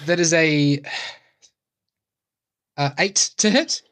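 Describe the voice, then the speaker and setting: A woman's voice: low-pitched, lively intonation, slow, a bit bright. A woman playing a tabletop role-playing game. She speaks in a casual, improvised way, with dry, joking delivery. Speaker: tabletop RPG player.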